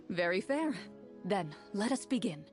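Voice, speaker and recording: A woman playing a composed, courteous game master in an anime-style video game, formal and measured as she gives instructions. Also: medium pitch, very animated. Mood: gently amused. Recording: music playing behind.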